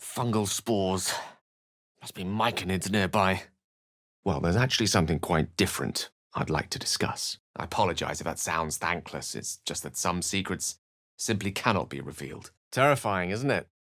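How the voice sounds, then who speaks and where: A refined, scholarly video game wizard in middle age. He phrases things ornately and with wry precision, theatrical yet measured. The recording is close and dry. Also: low-pitched, expressive, a bit bright, no echo. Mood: wry, curious. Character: eloquent, refined adult male wizard companion, wry and scholarly.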